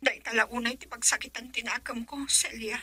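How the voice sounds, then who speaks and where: Anguished young Filipina, high voice. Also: female voice, steady tone, moderate pace, pushed and bright, slightly rough, no room sound. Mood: hushed sorrow. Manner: pleading, emotional. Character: anguished young woman torn between lover and family. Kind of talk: Ilocano radio drama acting.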